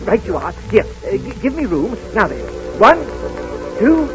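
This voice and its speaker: A man acting a meek, good-natured clerk with an excitable, deferential manner in 1930s radio style. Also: sits high, a little slow. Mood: jolly. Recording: muffled 1930s radio recording over music.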